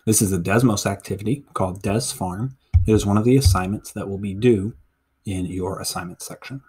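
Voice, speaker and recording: Male middle school teacher, American, lowish pitch, walking students through an on-screen exercise in methodical steps. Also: brisk, a little mellow, husky. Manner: step-by-step explaining, counting aloud. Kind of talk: instructional screencast walkthrough.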